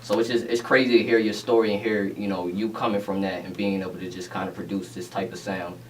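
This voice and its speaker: A man's voice: fairly low pitch, little pitch movement, fast. A man hosting a casual radio interview, upbeat with frequent affirmations and "you know what I'm saying". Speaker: radio DJ host. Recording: distant mic, faint room noise.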